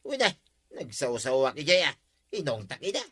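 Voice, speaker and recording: A man's voice: medium pitch, very animated, moderate pace, fairly bright tone, husky. A man with an Ilocano accent acting a brash, mischievous village schemer in a comedy drama. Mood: defiant.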